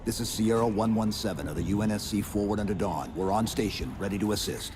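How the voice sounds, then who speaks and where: An actor playing a stoic, terse super-soldier in a video game, with a deadpan military delivery. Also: male voice, lowish voice, fast talker, crowd noise, lightly acted. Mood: terse, steady. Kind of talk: cinematic video game acting. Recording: loud battle and vehicle noise.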